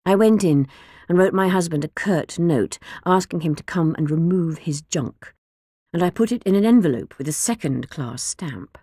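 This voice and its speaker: Woman narrator, audiobook, gravelly, dry close studio sound, measured and expressive. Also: fairly low pitch, no room sound. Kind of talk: scripted first-person narration. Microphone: close studio mic.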